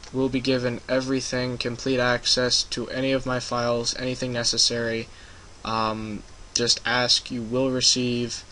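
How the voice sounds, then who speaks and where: A man's voice: medium pitch, fairly bright tone, a little hiss or hum, low-quality recording. A young man casually narrating a screen-recorded game-making tutorial, rambling and hesitant with many ums and uhs. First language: English.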